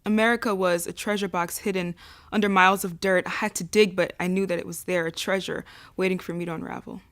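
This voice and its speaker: A woman's voice: lowish voice, fairly even intonation, fast, a bit bright. A woman narrating a spoken-word poem in an earnest, rhythmic delivery.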